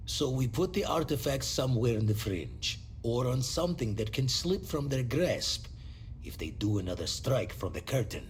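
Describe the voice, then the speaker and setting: An actor playing a shrewd, wary strategist in a space game, with an emphatic, colloquial delivery. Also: male voice, medium pitch, very animated, moderate pace, close to an everyday voice. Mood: wary, calculating. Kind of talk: video game cutscene acting. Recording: faint ambient noise.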